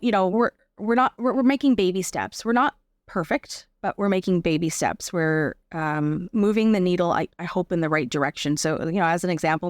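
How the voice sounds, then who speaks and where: A woman speaking as an interview guest, giving a personal account in a reflective, measured and explanatory conversational way. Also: fairly low pitch, no echo, clean studio sound. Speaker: podcast guest, actor and advocate.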